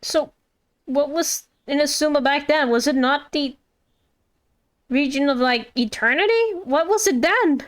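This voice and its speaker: Girl streamer w a high voice, just chatting along to the game and musing out loud. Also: a little slow. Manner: informal, musing aloud. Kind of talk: casual live gameplay commentary.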